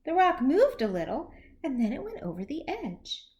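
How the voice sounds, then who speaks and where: A woman reading a children's story aloud as a teacher would, expressively and with deliberate phrasing for young listeners. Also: high-pitched. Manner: animated, slow, deliberate, with questions to young listeners.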